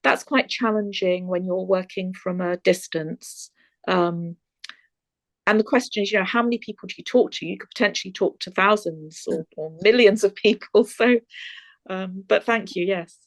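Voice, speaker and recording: A woman's voice: mid-range voice, moderate pace, no room sound. A woman guest lecturer answering audience questions over a video call, thoughtful and hesitant with many fillers.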